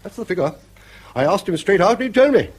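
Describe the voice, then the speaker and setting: Blustering old British grandfather, husky, swooping. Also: high voice, big pitch swings, fast talker, a little mellow, full character voice. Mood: needling. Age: older. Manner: blustering, sardonic, garrulous. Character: bankrupt, blustering, needling old grandfather, unashamed and argumentative. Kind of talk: British radio drama acting.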